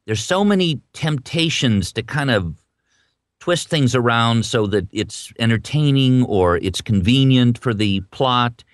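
A man interviewing someone in a conversational style, feeling his way through questions with halting phrasing. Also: medium pitch, expressive, no echo, clean studio sound.

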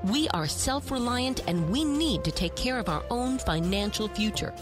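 A woman's voice: medium pitch, expressive, moderate pace, over music. A woman reading a scripted show intro in a dramatic, emphatic announcer style.

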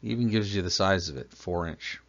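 A man's voice: low-pitched, fairly quick, gravelly, a bit bright. A man teaching a plumbing lesson, patiently explaining step by step and asking the listener questions. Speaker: plumbing instructor. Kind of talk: slideshow lesson narration. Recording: dull lo-fi voice-over.

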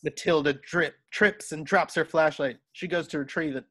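A man's voice: fairly high pitch, fairly even intonation, moderate pace, a bit bright. A man narrating scene directions from a script at an informal online table read. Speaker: narrator reading stage directions. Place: indoors at home on a video call. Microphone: video call.

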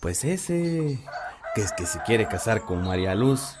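A man playing a greedy, mocking, bumbling village father with a halting delivery and Mexican accent in an audio drama. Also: on the low side, very animated, darkish tone. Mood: scheming, hesitant. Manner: halting, sly, colloquial. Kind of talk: audio fiction acting. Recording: clear farmyard noise, clucking hens.

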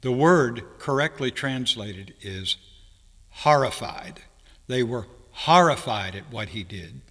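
A man in his seventies preaching an explanatory sermon from a church pulpit, with deliberate, halting phrasing and pauses mid-sentence. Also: mid-range voice, lively intonation, a bit bright. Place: church sanctuary, speaking from a pulpit. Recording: reverberant hall, long echo.

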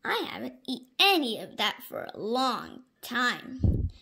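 Woman playing an eager, high-voiced friendly dinosaur. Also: very animated, measured pace, gravelly, bright, forceful tone, heavily acted. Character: friendly, eager, gentle giant male dinosaur.

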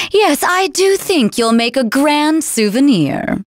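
Haughty, cruel lancer general. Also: female voice, sits high, big pitch swings, dry recording, heavily acted. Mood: haughty, mocking. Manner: haughty, taunting, drawn-out theatrical delivery. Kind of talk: video game character voice lines.

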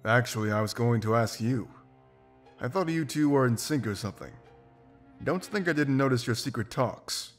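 An actor playing a confident, pragmatic wolf mercenary in a video game, blunt and self-assured. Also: male voice, medium pitch, lively intonation, normal speed, close to an everyday voice. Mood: wry. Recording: music behind. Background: game music.

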